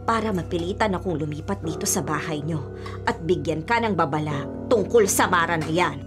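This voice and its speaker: A woman acts an old grandmother in a radio play, her voice on the low side. She is grave and watchful, with dramatic music strong behind her. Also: normal speed, lightly acted. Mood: grave warning. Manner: grave, suspicious. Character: wary, foreboding old grandmother with a sixth sense. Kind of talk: Filipino radio drama acting. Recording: music bed underneath.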